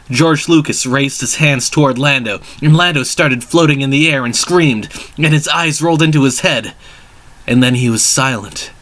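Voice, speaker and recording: Bright-voiced man narrating a story dramatically. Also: medium pitch. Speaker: reader of a fan fiction story.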